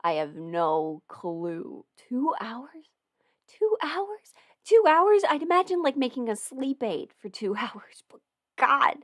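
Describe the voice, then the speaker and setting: A woman's voice: high-pitched, big pitch swings, takes it slowly, no echo. A young woman in her twenties hosting a casual livestream Q&A from home, talking close to the microphone with lots of fillers and giggles. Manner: rambling, giggly, many fillers. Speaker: livestream host answering questions. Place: home, eating ramen.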